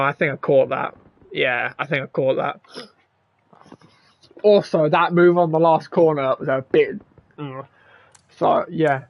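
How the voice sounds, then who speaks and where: A man with a worn-out, hoarse voice stumbles through a casual race wrap-up, restarting his sentences and swearing now and then. He talks slowly. His voice comes through a dull, dry lo-fi mic. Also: fairly high pitch, no echo. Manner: stammering, rambling, restarts often; swears, laughs at himself. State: hoarse, voice strained from shouting. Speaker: race commentator.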